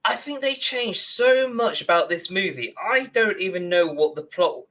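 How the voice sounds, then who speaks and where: A man's voice: high-pitched, animated, moderate pace, a bit bright. A young man co-hosting a casual, opinionated discussion of films and games from a room on a video call. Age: young adult. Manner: opinionated, ranting. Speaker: podcast co-host. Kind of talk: casual film and game discussion.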